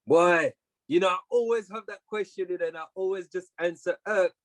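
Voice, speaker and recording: A reggae artist from France, with family roots in Martinique, talking in a relaxed, rambling way with frequent laughs. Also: male voice, high-pitched, a little fast, no room sound. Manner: laid-back, laughs often, rambling with restarts. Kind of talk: relaxed conversational interview.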